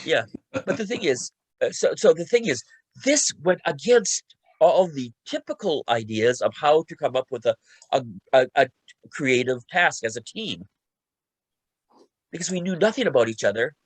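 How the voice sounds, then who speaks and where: A man's voice: fairly high pitch, lively intonation, moderate pace, breathy edge, no room sound, poor audio. A man talking casually on a panel as a co-writer, often repeating himself and restarting phrases as he recounts a creative process. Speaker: radio play co-writer and panelist.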